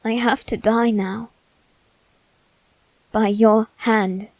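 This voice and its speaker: Woman doing a posh-born teen boy, clingy and wounded, asmr roleplay, flat tone, close dry sound, long pauses. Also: a little high, no echo, exaggerated voice. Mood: resigned, tense. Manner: slow, halting, measured delivery with long pauses. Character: wounded, possessive teenage boy aristocrat bound by demon contract. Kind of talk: ASMR character roleplay, scripted drama.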